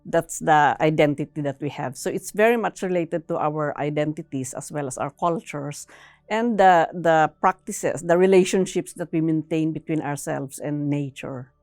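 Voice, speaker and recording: A woman giving explanatory interview answers in a measured, halting way, sometimes tagging phrases with a questioning "no". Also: low voice, darkish tone, dry recording, studio quality, soft background music.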